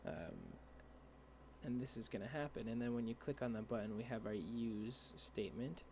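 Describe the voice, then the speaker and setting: A young man talks through a screen-share coding tutorial, stopping and restarting often and padding his sentences with fillers. His voice is dry and gravelly at an ordinary pitch. Faint room hum sits underneath. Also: sing-song, darkish tone. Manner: rambling, halting with many fillers and restarts. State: dry voice, clearing throat. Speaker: tutorial creator.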